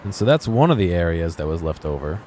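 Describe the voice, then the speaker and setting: Male gaming commentator, very low pitch, low-key and musing, unscripted chat over faint background noise. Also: very animated, fast, warm tone. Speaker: gaming video host. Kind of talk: casual off-the-cuff game exploration commentary.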